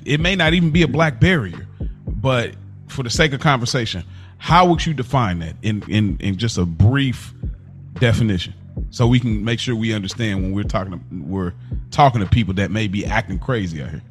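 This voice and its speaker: A man hosting a casual podcast discussion, posing questions deliberately and rephrasing them as he goes. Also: medium pitch, a bit bright, soft background music. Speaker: podcast host and moderator.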